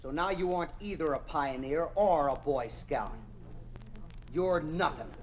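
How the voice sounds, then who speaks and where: A high-voiced, sneering boy played by a male actor who lords it over others as a cold party enforcer. His delivery is authoritarian old radio drama acting, on a faintly noisy vintage recording. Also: unhurried, natural voice. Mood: cold, taunting. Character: taunting, cold boy enforcer of a totalitarian youth club.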